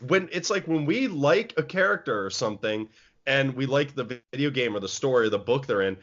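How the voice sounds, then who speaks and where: Young male co-host, casual and opinionated, animated delivery, dull lo-fi recording. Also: medium pitch, brisk. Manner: animated, opinionated, many fillers. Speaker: podcast co-host. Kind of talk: casual chat. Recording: dull lo-fi sound.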